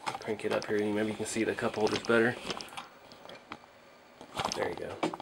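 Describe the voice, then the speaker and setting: A man's voice: lowish voice, level tone, normal speed, vocal fry, noisy background. A man hosting a casual hobby build vlog, speaking in a modest, hesitant way as he thinks aloud. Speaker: hobby channel host.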